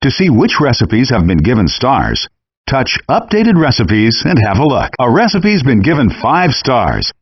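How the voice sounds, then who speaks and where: A man voicing a friendly, encouraging virtual chef in a cooking game, giving clearly worded scripted instructions through dull, compressed game audio. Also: medium pitch, wildly swooping pitch, measured pace, no echo, exaggerated voice. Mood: patient, instructive.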